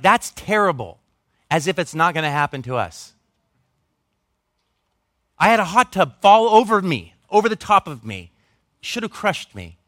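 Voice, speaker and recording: A man's voice: high voice, fairly bright tone, dry recording, clean studio sound. A man in his forties preaching a conversational sermon as a pastor, earnest and emphatic, with self-deprecating asides. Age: 40s.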